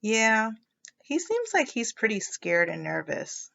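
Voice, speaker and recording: Lady teacher doing a kids read-aloud, asks questions, highish lively voice, bit lo-fi. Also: slow pace, creaky. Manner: expressive, explanatory, checking understanding. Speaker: teacher reading a picture book aloud.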